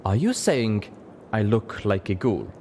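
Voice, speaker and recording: A man's voice: fairly low pitch, big pitch swings, a little mellow, slight background noise. A man voicing a proud, wary female zebra outsider who speaks cautiously, in an audiobook. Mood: confused, cautious. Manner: slow, cautious. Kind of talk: audiobook character voice.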